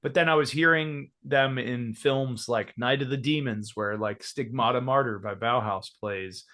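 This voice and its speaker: A man's voice: medium pitch, normal speed, pushed and bright. A man in his thirties from Georgia in the United States, a musician talking loosely in an interview, with many fillers and restarts. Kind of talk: casual interview chat.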